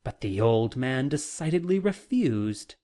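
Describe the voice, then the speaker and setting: Measured literary audiobook narrator. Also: mid-range voice, sing-song, warm tone. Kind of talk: scripted literary narration, dramatic reading.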